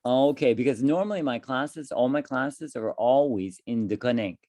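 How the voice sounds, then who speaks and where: A man's voice: mid-range voice, normal speed, dry recording, clean studio sound. A man, an English teacher chatting casually with his student and asking lots of questions. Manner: chatty, repeats and restarts, lively rising questions.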